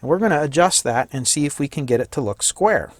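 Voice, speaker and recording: A man with a slightly hoarse voice giving a casual hands-on electronics tutorial, thinking aloud and correcting himself as he works. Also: a little high, expressive, fast, no room sound. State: slightly hoarse, losing his voice. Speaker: electronics hobbyist demonstrating a kit.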